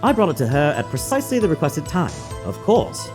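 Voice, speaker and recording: A man's voice: on the high side, wildly swooping pitch, fairly quick. A man voicing a prim, obsequious head bellboy in a video game dub, overly formal and exact. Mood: proud, formal. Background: music.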